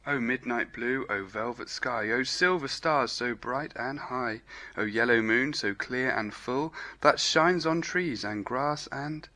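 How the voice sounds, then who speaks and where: A man voicing an earnest, dreamy storybook rabbit who recites rhyming verse in a measured lilt. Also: mid-range voice, pushed and bright.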